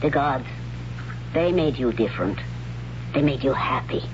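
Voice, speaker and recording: A man's voice: mid-range voice, expressive, unhurried, lightly acted. A man as a solemn whispering priestess. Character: stern solemn priestess of warrior women. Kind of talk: 1970s radio drama acting. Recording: muffled old radio broadcast with hiss.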